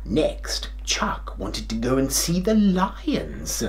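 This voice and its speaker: A middle-aged man playing a kindly, jolly, forgetful old grandad king, telling a children's story in an expressive, theatrical way. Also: on the high side, slow. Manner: theatrical, expressive, with hammed-up hesitations and chuckles.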